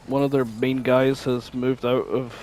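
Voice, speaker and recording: A man's voice: medium pitch, level tone, measured pace, a little mellow, a little hiss or hum. A man co-hosting a podcast, chatting casually and informally. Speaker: podcast co-host.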